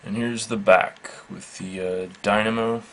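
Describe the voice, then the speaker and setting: A man giving a casual, unscripted product demonstration in a matter-of-fact, halting, measured way. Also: fairly low pitch, steady tone. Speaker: product reviewer. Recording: faint background noise.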